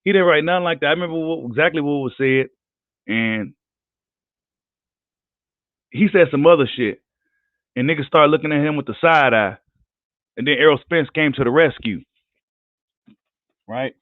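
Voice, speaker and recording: A man with a worn, hoarse voice delivers a heated, profane rant on a live boxing video. His tone sits a touch high for a man. The sound is dry and thin, like a phone line. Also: moderate pace, pauses often, dry recording. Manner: heated, profane, combative ranting. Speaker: boxing commentator.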